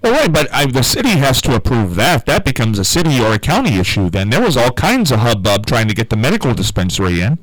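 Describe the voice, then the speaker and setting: A man with a deep voice hosting a call-in radio talk show in a relaxed, conversational way, from a small carpeted studio. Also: quick pace, hardly any pauses, dry recording, poor audio. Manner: relaxed, conversational, takes calls.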